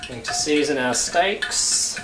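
A man's voice: fairly high pitch, slow pace, over loud music. A man demonstrating a recipe at home, chatting casually while he works at the stove. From United Kingdom. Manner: relaxed, chatty, talks while working. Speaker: cooking show chef. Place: home kitchen. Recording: echoey kitchen with loud sizzling. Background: frying and sizzling.

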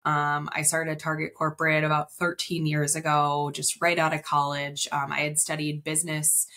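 Low-voiced woman. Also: completely flat delivery, normal speed, bright, forceful tone. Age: 30s. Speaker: interview guest, wedding planner. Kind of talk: casual podcast interview. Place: indoor room on video call.

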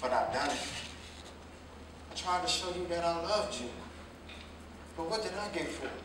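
Distant and swimming in room echo, a man acts a young working man in a stage drama. His voice sits high, impassioned and emphatic. He is earnest and defensive, repeating his points as he pleads. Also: lively intonation, normal speed, lightly acted. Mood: earnest, pleading. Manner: emphatic, repetitive, impassioned vernacular delivery. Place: live staged reading, recorded from a distance indoors. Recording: very echoey room, distant mic, faint noise.

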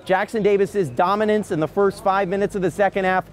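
A young man reads a scripted sports package in a clearly high voice. He reports from a big arena, with faint noise around him. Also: steady tone, brisk. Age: young adult. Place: large indoor basketball arena.

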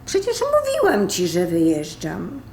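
A woman playing a sharp, sarcastic, fed-up live-in partner in a radio drama, brisk and sardonic. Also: medium pitch, wildly swooping pitch. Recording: roomy sound with faint noise.

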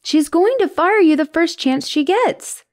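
A woman's voice: extremely high pitch, animated, measured pace, a little mellow, no room sound, pitched above the performer's own voice. Anxious, devoted young spider-woman girlfriend, acted by a woman, close and dry. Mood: alarmed, fearful. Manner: hesitant, emotional, sighing, often drops to a whisper. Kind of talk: ASMR roleplay.

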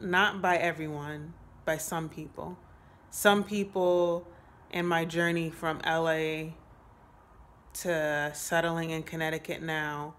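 A woman in her late twenties talking to her phone camera in a small room, giving a casual, rambling update with many pauses and fillers. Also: sits low, a bit bright. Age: 20s. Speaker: vlogger. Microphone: phone held close at arm's length.

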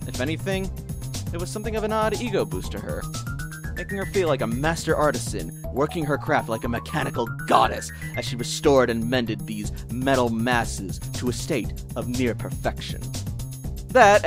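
A man narrating a scripted story in a measured, literary way. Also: mid-range voice. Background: music.

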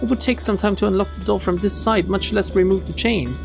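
A woman streamer reading visual novel text aloud in an expressive storytelling style. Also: mid-range voice, brisk, vocal fry. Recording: game music behind the voice.

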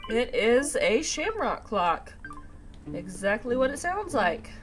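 A woman's voice: fairly high pitch, very animated, slow pace. A woman hosting a casual let's play, chatting to herself in a slightly nasal, congested voice with a sinus infection. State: congested with a sinus infection, recovering from a cough and sore throat. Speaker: gaming video host. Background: video game music.

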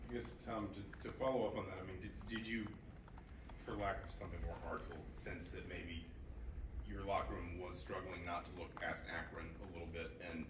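Low-voiced male reporter. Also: fairly even intonation, brisk. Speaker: sports reporter. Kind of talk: press conference question. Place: indoor press conference room. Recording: distant, echoey room with clear noise.

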